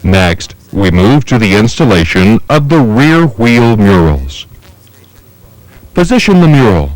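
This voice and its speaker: A man with a fairly low voice narrates a training film. He gives scripted instructions methodically, one step at a time, with very varied intonation. The sound is dry, with little room around the voice. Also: no room sound, rough recording. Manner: measured, methodical, step-by-step delivery.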